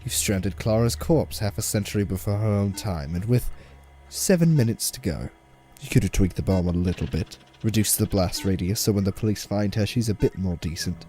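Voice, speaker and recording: A man playing a cool, sardonic alien mastermind in a fan audio drama, speaking in dry, deliberate monologues. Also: on the low side, mellow, slight background noise, close to an everyday voice. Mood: cold, accusing. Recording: music clearly under the voice.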